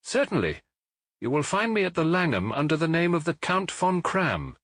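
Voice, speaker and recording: A man's voice: on the high side, sing-song, normal speed, a bit bright, no echo, studio quality, voice pushed up. A man voicing a proud, anxious foreign king in a storybook, speaking with grand emphasis. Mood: anxious, emphatic. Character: imperious, anxious Bohemian king, intense and proud.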